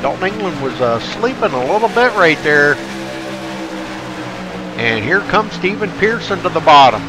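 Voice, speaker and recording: Stuffed-up, excitable race commentator man. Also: fairly high pitch, normal speed, long pauses, music in the background, rough recording. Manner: excitable, folksy play-by-play. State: stuffed up from allergies. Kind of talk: live race play-by-play commentary.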